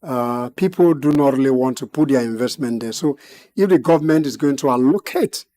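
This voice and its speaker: Measured man, mellow voice. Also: mid-range voice. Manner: measured, many "uh" pauses, formal phrasing. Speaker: guest analyst. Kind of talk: studio interview commentary.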